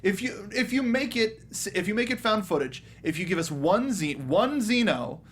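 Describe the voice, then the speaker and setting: A man's voice: high-pitched, animated, moderate pace, a bit bright, husky. A man hosting and moderating a film review panel in casual, conversational talk.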